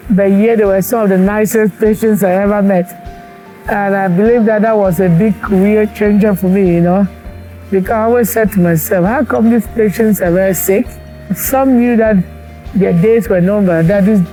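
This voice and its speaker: A man in his forties from Cameroon, a doctor and cancer researcher, speaking earnestly and reflectively in a documentary interview, pausing as he thinks. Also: high-pitched, mellow, rough recording. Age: 40s. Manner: earnest and reflective, with thoughtful pauses.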